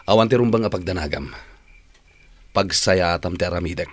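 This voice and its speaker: A man's voice: low-pitched, normal speed, slight background noise, lightly acted. A man playing a resolute, remorseful older brother in an Ilocano radio drama, earnest and emphatic.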